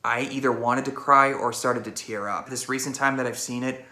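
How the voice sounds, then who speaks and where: An excitable man reviews a film in a bright, forceful voice. He retells scenes with emphatic delivery and acts out reactions, recorded in a room with noticeable echo. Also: mid-range voice, moderate pace. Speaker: movie reviewer. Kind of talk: animated video movie review, retelling scenes.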